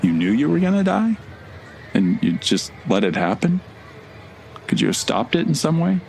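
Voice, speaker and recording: A man's voice: fairly high pitch, big pitch swings, moderate pace, creaky, darkish tone, slight background noise, low-quality recording. An actor playing a blunt, sardonic male traveler in an audio drama, talking in a casual way. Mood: accusing, incredulous.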